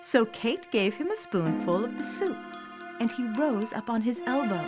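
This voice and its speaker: High-voiced woman narrating a children's fairy tale. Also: very animated, normal speed. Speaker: storyteller. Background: music.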